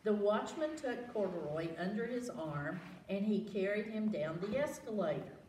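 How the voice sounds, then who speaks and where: A woman reading a picture book aloud to children, expressive, pausing to ask questions and exclaim. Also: medium pitch. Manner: animated, asks listeners questions, exclaims. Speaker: library storytime reader. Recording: roomy, distant mic, faint background noise.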